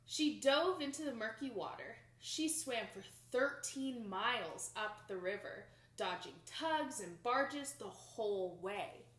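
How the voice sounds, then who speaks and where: A young woman reads a children's picture book aloud with expressive, dramatic emphasis, recorded in a small room indoors. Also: fairly high pitch, slow pace, few pauses. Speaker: storybook reader. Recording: roomy home recording.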